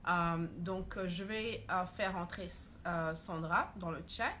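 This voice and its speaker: A woman hosting a live video chat, speaking slowly and haltingly with many fillers. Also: fairly low pitch, bright, forceful tone, slight background noise. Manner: slow, halting, heavy fillers. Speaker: host. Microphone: phone or video call.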